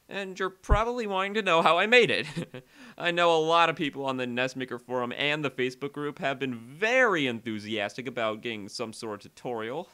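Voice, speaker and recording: A man presenting a casual software tutorial in a conversational voice-over, with uneven energy and weight on key words. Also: fairly high pitch.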